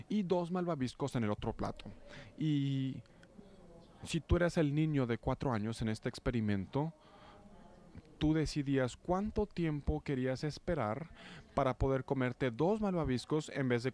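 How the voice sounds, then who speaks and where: A man working as a live interpreter of a talk, phrasing haltingly and correcting himself. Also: mid-range voice, darkish tone. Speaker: simultaneous interpreter.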